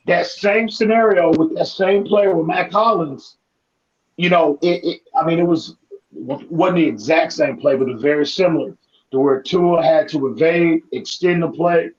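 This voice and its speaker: A man with a raspy, hoarse voice giving animated, detailed football analysis in casual talk. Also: on the high side, highs cut off. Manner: animated, analytical, many restarts.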